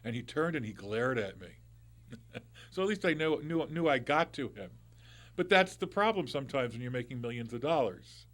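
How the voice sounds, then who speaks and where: A loud-voiced middle-aged man talks into a studio microphone, hosting a live call-in radio show. He chats loosely and conversationally, circling back with restarts and little asides. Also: mid-range voice, expressive, normal speed.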